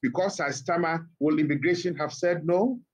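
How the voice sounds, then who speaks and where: A middle-aged man with a Ghanaian accent, a guest speaking by phone in a TV interview, measured and emphatic with pointed rhetorical questions. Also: fairly high pitch, lo-fi sound. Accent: Ghanaian English. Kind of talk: TV interview commentary. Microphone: phone line.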